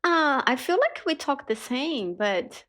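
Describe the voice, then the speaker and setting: A Brazilian woman with a São Paulo accent, a native Portuguese speaker chatting as a podcast guest in halting English, laughing often. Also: high-pitched, big pitch swings, a bit bright. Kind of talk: casual chat.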